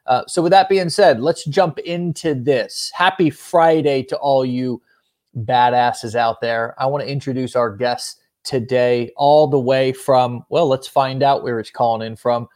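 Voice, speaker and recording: Upbeat guy hosting a video-call show, energetic, trips over his words a lot, mid-pitched voice. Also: unhurried. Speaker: host. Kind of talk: casual interview show.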